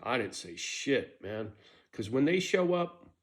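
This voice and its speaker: Middle-aged man, mid-pitched, blunt, swears freely, casual personal storytelling, keeps asking "right?". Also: very animated, takes it slowly. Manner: blunt, profane, conversational with frequent "right?". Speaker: storyteller telling his own life story.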